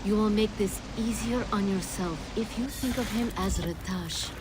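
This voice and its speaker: A woman's voice: mid-range voice, normal speed, heavy background noise, close to an everyday voice. A woman playing a mystical spirit queen in a video game, composed and formal with a dry wit. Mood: grave. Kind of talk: video game cutscene acting. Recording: game soundtrack mix with loud music. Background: loud music.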